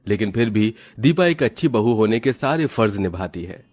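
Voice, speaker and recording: A man narrating an animated moral tale for children in a scripted storytelling style. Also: lowish voice, normal speed.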